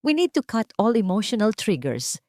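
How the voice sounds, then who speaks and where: A woman's voice: mid-range voice, very animated, moderate pace, darkish tone, no room sound, clean studio sound, pitched above the performer's own voice, natural voice. A woman with a Filipino accent voicing a haughty, strict child-therapy expert in an audiobook, commanding, clipped and condescending. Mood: strict, clinical. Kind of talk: audiobook character dialogue mixing Tagalog and English.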